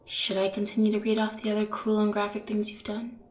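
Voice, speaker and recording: A stern female police detective acted by a woman speaks in a roomy, echoing space. Her mid-pitched voice is cold and deliberate, full of contempt, as in an interrogation scene from an audio drama. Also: completely flat delivery, close to an everyday voice. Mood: cold, accusing. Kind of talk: audio roleplay acting. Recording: roomy echo on the voice.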